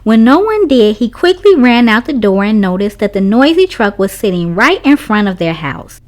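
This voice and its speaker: A woman's voice: fairly high pitch, big pitch swings, normal speed. A woman reading a children's story aloud, narrating expressively for young listeners.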